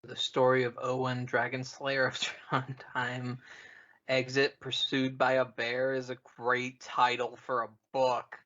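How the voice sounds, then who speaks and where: A man's voice: mid-range voice, completely flat delivery, unhurried, sharp tone, muffled recording. A man co-hosting a casual podcast chat in a jokey, easily amused manner. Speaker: podcast co-host.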